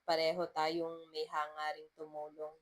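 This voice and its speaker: A woman narrator plays a young man courting in a love story. He is sincere and gentle, speaking softly and hesitantly, pitched below the narration. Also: monotone, measured pace. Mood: reflective.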